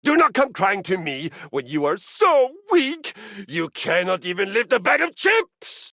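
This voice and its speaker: Game casting: massive pompous warrior, scornful drill-master, gravelly and forceful, slow grand delivery. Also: male voice, high-pitched, sing-song, no echo, clean studio sound, full character voice. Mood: bitter disdain. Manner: bombastic, domineering, scornful. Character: huge pompous bearded warrior brute preaching painful exercise. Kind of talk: video game character acting.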